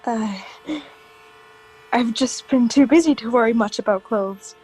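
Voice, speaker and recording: A woman's voice: fairly high pitch, a little slow, darkish tone, husky, rough recording. A woman playing a nervous, polite, evasive character who hides her dread in an old-time radio drama. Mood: hesitant, guarded. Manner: hesitant, uneasy, polite.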